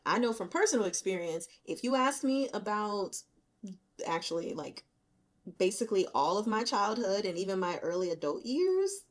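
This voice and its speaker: Female book-channel host, mid-pitched, informal and chatty, candid, animated delivery. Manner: candid, animated, colloquial, quick to laugh. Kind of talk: casual chatty book commentary. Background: rain.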